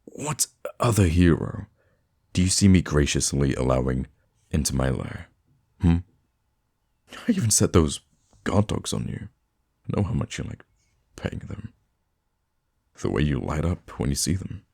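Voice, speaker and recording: A man playing a theatrical, easily flustered supervillain with a soft spot for his hero nemesis, in an ASMR roleplay monologue with a gravelly voice. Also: a bass voice, big pitch swings, unhurried, pauses often, exaggerated voice. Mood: exasperated fondness. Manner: dramatic, flustered, teasing, stammering when thrown off.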